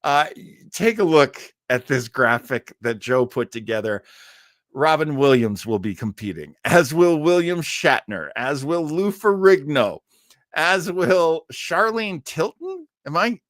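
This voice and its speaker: A man hosts a casual livestream in a middle-pitched voice. He rambles chattily to his viewers, stopping and starting as he goes. The sound is dry, with very little room echo. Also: a bit bright. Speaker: host of a livestream show.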